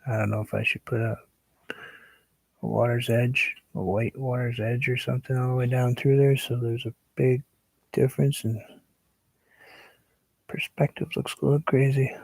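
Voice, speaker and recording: A man hosting a painting livestream, chatting casually and relaxed with viewers, sometimes dropping to a soft whisper as he works. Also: medium pitch, normal speed, vocal fry, pauses often, no echo, rough recording. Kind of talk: casual chat while painting.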